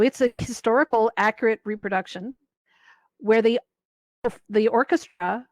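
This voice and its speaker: A woman's voice: mid-range voice, no echo. A woman moderating a panel informally, in a wordy, rambling way. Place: virtual reality event, voice over personal microphone.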